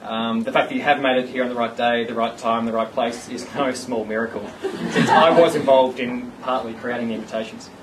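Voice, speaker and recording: A man's voice: mid-range voice, steady tone, brisk, noisy background. A man giving a rambling, self-mocking speech at his engagement party. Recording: distant mic with loud party ambience.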